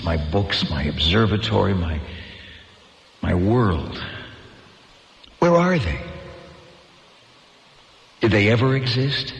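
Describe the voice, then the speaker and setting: An actor playing a haunted, middle-aged male astronomer who believes he is the last survivor, narrating in a solemn, brooding, measured way. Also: sits low, creaky. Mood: despairing. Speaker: narrator of a radio drama. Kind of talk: 1990s radio drama acting, first-person narration. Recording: reverberant radio play with music behind.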